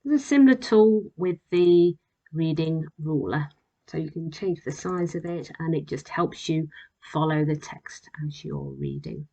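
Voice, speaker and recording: A woman presenting an instructional software demonstration as a voice-over, explaining plainly and step by step. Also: deep voice, a little slow, gravelly, poor audio. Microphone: voice-over on a screen recording.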